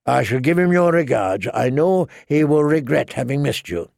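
A man's voice: medium pitch, lively intonation, moderate pace, darkish tone, no room sound, clean studio sound. Man voicing a gracious, deferential nobleman. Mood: polite, apologetic. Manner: polite, smooth-mannered, deferential. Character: gracious, courteous lame nobleman. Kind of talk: audiobook character dialogue.

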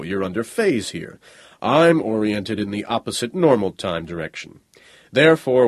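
A man's voice: lowish voice, no room sound, full character voice. Man voicing a dry, hollow, smug robot emissary. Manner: formal, mechanical politeness. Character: tall middle-aged male robot emissary, smug, overly polite. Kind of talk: audiobook character voice.

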